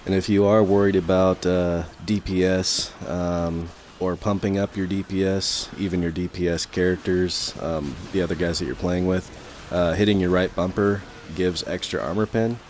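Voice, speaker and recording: A young man commentating his gameplay in a casual, rambling way full of fillers, his voice a little raspy from talking so long. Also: sits low, fairly even intonation, moderate pace, few pauses, darkish tone, a little hiss or hum. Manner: rambling, many fillers and restarts.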